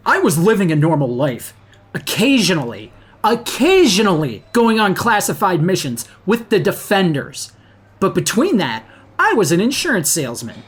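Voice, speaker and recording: A man's voice: high voice, slightly rough, full character voice. A man playing a flippant young superhero in an audio drama, slangy, sarcastic and blurting. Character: Bobby, flippant young male superhero, casual and indignant.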